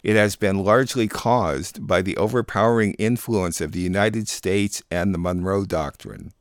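A man's voice: low-pitched, normal speed, no room sound, studio quality. A man hosting a radio program, reading scripted announcements and introductions. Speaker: radio program host and producer.

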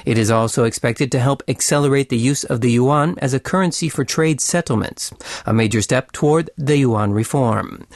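A man's voice: mid-range voice, a little mellow, no room sound. Male news reader, very dry close sound. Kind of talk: scripted radio news bulletin.

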